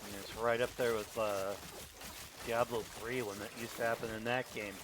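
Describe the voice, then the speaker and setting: A man streaming a game, chatting in a relaxed, rambling way. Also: medium pitch, moderate pace, background noise. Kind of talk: casual commentary while gaming.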